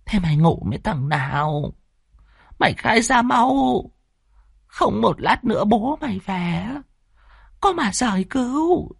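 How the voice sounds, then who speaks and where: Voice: mid-range voice, very animated, gravelly, husky, dry recording, clean studio sound, pitched above the performer's own voice, exaggerated voice. A storyteller voicing a middle-aged village mother with a northern Vietnamese accent, scolding in hissed, wailing, badgering outbursts. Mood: stern, angry. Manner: hissing, rapid badgering questions, wailing exclamations. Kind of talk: dramatic audio storytelling.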